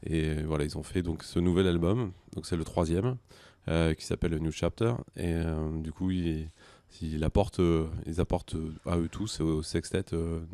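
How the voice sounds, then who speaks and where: A man with a very low, mellow voice. He answers interview questions conversationally, feeling his way haltingly through explanations, in a dry recording. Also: steady tone, no echo. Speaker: music conservatory director, interview guest.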